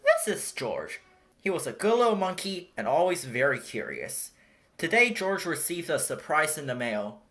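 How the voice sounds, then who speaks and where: A storybook reader giving an expressive read-aloud narration for children. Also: medium pitch, moderate pace.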